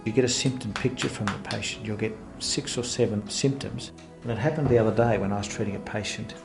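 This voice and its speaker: A man's voice: fairly low pitch, fast talker, gravelly, over music. A man speaking as a practitioner, giving a first-person account of his work. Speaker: homeopathy practitioner. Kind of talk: first-person promotional testimony.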